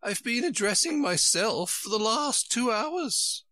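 A helpless, fretful queen from a storybook, acted out by a man reading aloud. The voice is pitched far up and swoops about with a melancholy, put-upon air. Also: animated, a little slow, pushed and bright, no echo, heavily acted.

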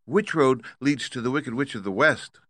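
A man's voice: mid-range voice, normal speed, a bit bright, dry recording, voice pushed up, natural voice. A man voicing a sad but determined young girl in a storybook, with a lifted, plaintive, earnest tone. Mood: hesitant, earnest.

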